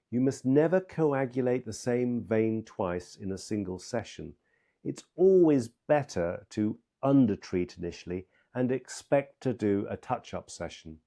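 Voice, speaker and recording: Mellow-voiced man giving measured instruction. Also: medium pitch. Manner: emphatic, measured, step-by-step delivery. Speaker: medical practitioner and trainer. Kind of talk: scripted instructional narration.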